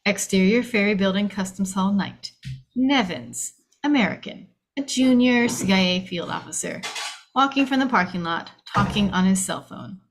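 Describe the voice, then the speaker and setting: A woman reads the action and scene headings of a film script aloud. Her voice sits at a medium pitch, and she delivers the narration dramatically, as at a table read. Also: expressive, normal speed. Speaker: narrator reading stage directions.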